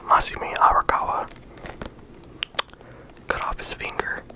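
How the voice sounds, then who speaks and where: Soft unvoiced ASMR whisper. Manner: soft, unhurried, stops and restarts often. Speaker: ASMR video creator. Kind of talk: ASMR whispered character discussion.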